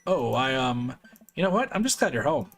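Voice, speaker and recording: A man's voice: mid-range voice, big pitch swings, normal speed, a bit bright, faint music behind. A man voicing a bubbly, playful teenage daughter with a raised voice. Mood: chirpy. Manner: chirpy and playful.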